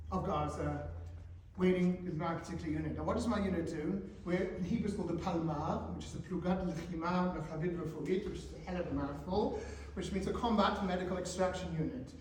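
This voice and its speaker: A man in his forties giving a talk to teenagers in a school hall, animated and questioning the audience. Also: high-pitched, a little fast, a little hiss or hum. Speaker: guest speaker. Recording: echoey hall, speaker far from mic.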